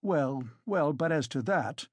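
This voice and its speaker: A narrator voicing a pompous, self-important gentleman in an audiobook, formal, measured and insistent in his reproach. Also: medium pitch, lively intonation, no room sound. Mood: flustered. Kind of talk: dramatised audiobook reading.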